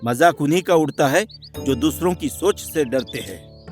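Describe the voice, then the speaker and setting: A man's voice: fairly high pitch, animated, normal speed, soft background music, close to an everyday voice. A man playing a proud, supportive husband in a moral-story cartoon, speaking earnestly and firmly with challenging questions. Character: proud, supportive young husband, firm and principled.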